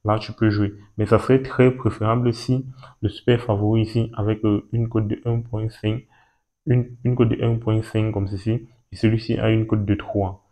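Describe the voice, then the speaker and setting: A man, talking through a screen-recorded walkthrough, repeating himself and pausing as he points things out. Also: lowish voice, level tone, dark tone. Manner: repetitive and hesitant, points at things with "ici, là". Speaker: betting tutorial host.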